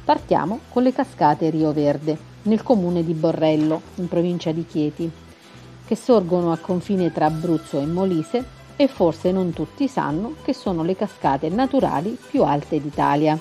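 A woman's voice: on the low side, expressive, a little slow, warm tone. A woman from Italy hosting a TV segment, reading a scripted travel feature. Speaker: TV segment host and narrator. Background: music bed.

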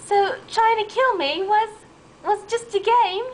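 Woman as an excitable, very high-pitched young policewoman. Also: unhurried, a little hiss or hum, low-quality recording. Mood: indignant, giddy. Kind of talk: amateur puppet show skit.

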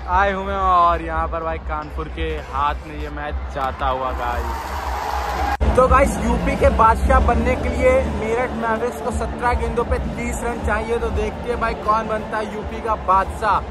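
A young man filming a live vlog from the stands of a packed outdoor cricket stadium at night. He holds his phone and talks excitedly to his viewers, calling them guys and brother. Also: high voice. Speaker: vlogger. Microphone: phone camera held in hand. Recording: phone audio swamped by stadium crowd and music. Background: loud roaring crowd and blaring music.

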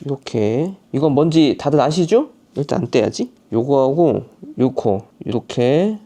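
A man's voice: medium pitch, animated, a little slow, warm tone, a little hiss or hum. A man from Chungcheong with a Chungcheong dialect, chatting casually and talking to himself as he unboxes a camera for his vlog. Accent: Chungcheong Korean dialect. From Chungcheong, Korea. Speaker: vlogger.

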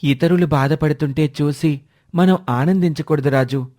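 A man voicing a kind, principled schoolboy who gently moralizes in a children's story. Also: on the high side, moderate pace, pitched above the performer's own voice, natural voice. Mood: calm admonishing.

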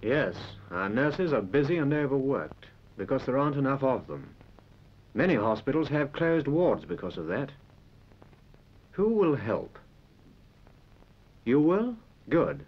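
A British male narrator makes a direct, conversational appeal in 1940s information film style. His voice moves up and down in a lively way, on a dull vintage soundtrack. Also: medium pitch.

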